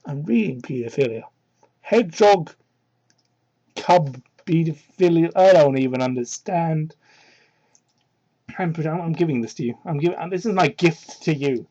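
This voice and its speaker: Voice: mid-range voice, lively intonation, measured pace, pauses often, warm tone, muffled recording. A person giving a mocking dramatic reading of fan fiction, breaking off into exasperated, incredulous, foul-mouthed asides, on a poor-quality hobby microphone. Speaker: amateur reader of fan fiction.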